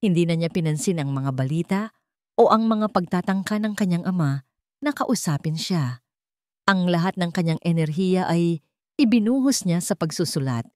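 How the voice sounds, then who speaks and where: A woman's voice: fairly low pitch, lively intonation, moderate pace, a little mellow, no echo, clean studio sound. A woman reading a scripted, dramatic story as its narrator.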